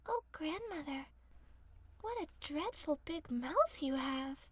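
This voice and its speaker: A woman's voice: high-pitched, animated, slow, no echo, voice pushed up. A woman voicing an innocent, polite little girl in a fairy tale, sweet, earnest and naive. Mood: puzzled.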